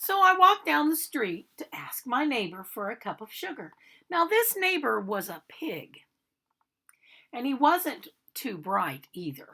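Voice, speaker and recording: Storybook big bad wolf, played by a woman: chatty, aggrieved, theatrical, highly animated, unhurried delivery. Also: vocal fry, slightly rough, exaggerated voice. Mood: indignant, chatty. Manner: chatty, theatrical, protesting innocence. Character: aggrieved, self-justifying male big bad wolf telling his story. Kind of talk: animated storybook read-aloud.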